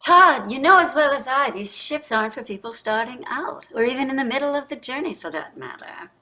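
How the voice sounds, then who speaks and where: A woman's voice: high-pitched, lively intonation, fairly quick, pushed and bright, rough recording. An older woman playing a wealthy, weary and sardonic wife and mother in a staged play reading, pointed and dramatic in her delivery. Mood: bitter.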